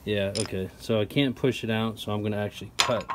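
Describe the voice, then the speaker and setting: A man casually explaining a tool setup while working with his hands, chatty and relaxed with plenty of ums. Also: on the low side, a little fast, heavy background noise. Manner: relaxed, chatty, lots of ums and you-knows. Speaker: product reviewer. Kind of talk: casual how-to narration.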